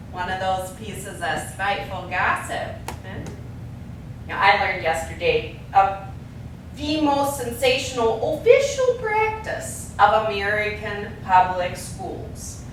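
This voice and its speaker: An actress playing a sardonic, ranting orator in an avant-garde theatrical monologue, declamatory, with drawn-out emphasis and sudden swings. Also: female voice, fairly bright tone. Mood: sardonic, gossipy. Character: sardonic, ranting orator railing against American war and manufacture. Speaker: stage actor. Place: echoing performance space, recorded from a distance. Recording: very echoey stage, distant mic, rumbling noise.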